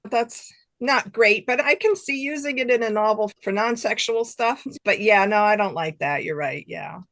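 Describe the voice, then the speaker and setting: Give an older woman in a casual interview, talking as a guest author. Her pitch is average for a woman, and she is chatty and effusive, full of enthusiasm. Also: moderate pace, fairly bright tone. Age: middle-aged or older, has grandchildren.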